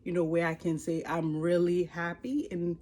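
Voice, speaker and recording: A woman in her forties with a Haitian accent, giving an earnest, expressive personal testimony to camera. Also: fairly low pitch, moderate pace. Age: 40s. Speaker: life coach and ministry leader. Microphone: speaking to a camera.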